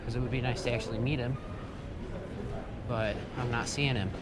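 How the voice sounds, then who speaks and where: A man's voice: mid-range voice, steady tone, moderate pace. A young man filming a casual walkthrough of a closing store, wistful and chatty as he talks to the camera. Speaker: retail vlogger filming. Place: large empty department store in a mall, indoors. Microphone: handheld action camera. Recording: noisy handheld camera audio.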